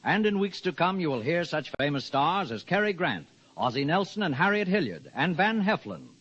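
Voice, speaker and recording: A man's voice: fairly high pitch, very animated, normal speed, a bit bright, breathy edge. An American man delivering a dramatic, showy 1950s radio promo. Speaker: radio show announcer. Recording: dull vintage radio broadcast recording. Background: music.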